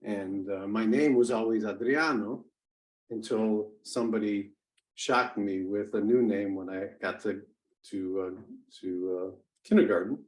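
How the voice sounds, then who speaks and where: A man in his sixties with a fairly low voice tells his life story as an interview guest. Italian was his first language. He speaks haltingly, restarting sentences and leaning on fillers, and now and then he chuckles at his own remarks. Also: fairly even intonation, poor audio. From United States. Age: 60s. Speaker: interview guest, business executive. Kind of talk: conversational storytelling.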